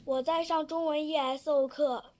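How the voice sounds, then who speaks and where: Public speaker, high voice, steady reading of prepared remarks. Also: female voice, moderate pace. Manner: steady recited sentences.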